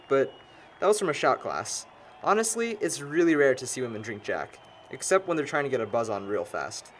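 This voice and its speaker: A man's voice: mid-range voice, slight background noise, lightly acted. Man acting a wordy young American know-it-all. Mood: wry, condescending. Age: young adult. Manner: long-winded, dryly sarcastic. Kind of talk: conversational audio drama acting.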